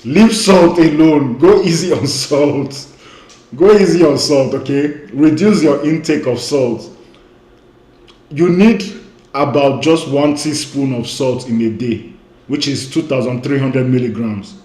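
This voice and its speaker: A man's voice: mid-range voice, a little slow, warm tone, roomy sound, rough recording. A man who grew up speaking Igbo, giving an emphatic online lecture as a weight-loss coach. He repeats his key points and often says "you know".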